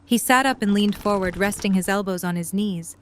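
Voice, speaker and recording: A woman narrates a scripted story for an audio drama. Her voice sits at a middle pitch, describing the scene between the characters' lines. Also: fairly quick, a little hiss or hum.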